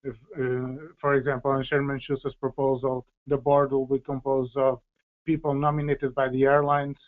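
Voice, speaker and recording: A man with a flat, little-varying pitch gives explanatory answers as an expert on a panel. He often pauses to search for his words. The sound is thin and band-limited, like a phone call. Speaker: policy expert answering questions.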